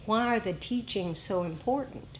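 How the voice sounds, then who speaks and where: A woman meditation teacher giving a dharma talk to a group, speaking deliberately and haltingly, with pauses between short phrases. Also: mid-range voice, lively intonation, slight background noise, rough recording.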